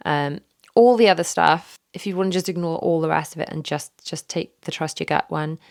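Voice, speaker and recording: A woman in her thirties hosting her own podcast from a home office, hoarse from a recent illness, chatting candidly with lots of fillers into a microphone. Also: low-pitched, a little fast. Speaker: podcast host. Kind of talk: casual solo podcast chat. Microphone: studio microphone.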